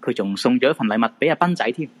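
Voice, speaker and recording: A man's voice: medium pitch, lively intonation, racing, husky. A man with a Hong Kong Cantonese accent narrating a radio drama in a dramatic scripted storytelling style.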